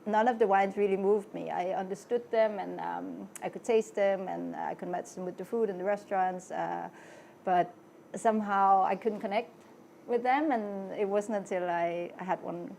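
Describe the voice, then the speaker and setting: Gravelly-voiced woman, halting and unhurried. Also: mid-range voice, steady tone, slight background noise. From Denmark. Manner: hesitant, many ums, laughs softly. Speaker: restaurant wine buyer. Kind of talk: casual podcast introduction.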